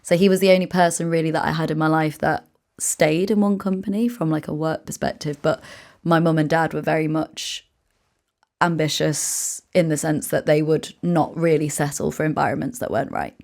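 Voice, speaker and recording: A woman from England speaks in a notably low voice. She is a guest in a relaxed interview, telling brisk, lively stories full of "like" fillers. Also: gravelly. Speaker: guest, founder and author. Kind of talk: casual interview chat.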